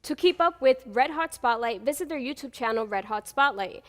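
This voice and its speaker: A young woman anchoring a student newscast in a bright, upbeat scripted delivery. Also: sits high, animated, normal speed, long reverb tail, faint music behind. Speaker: news anchor.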